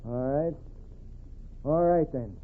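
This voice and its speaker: An actor playing a stubborn, bossy, greedy frontier leader with a husky voice and folksy western speech, in a muffled 1940s radio drama. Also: male voice, fairly high pitch, slow pace. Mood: defiant.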